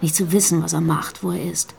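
An actress playing a bitter, grieving young pregnant girlfriend in a naturalistic radio drama, speaking haltingly and emotionally. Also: low-pitched, fairly even intonation, gravelly, a little mellow. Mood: guilty, distressed. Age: young adult.

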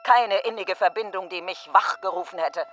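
A woman's voice: medium pitch, moderate pace, a bit bright, a little hiss or hum, lightly acted. An actress playing a grieving woman who questions a death in fragmentary phrases, in a literary radio monologue. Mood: bitter, resigned.

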